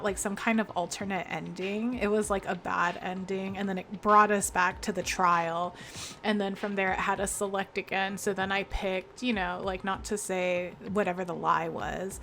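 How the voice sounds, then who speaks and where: Slow, gravelly young woman streamer. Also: mid-range voice. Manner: chatty, laughs often, greets chat by name. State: congested with a sore throat. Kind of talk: casual game stream chat.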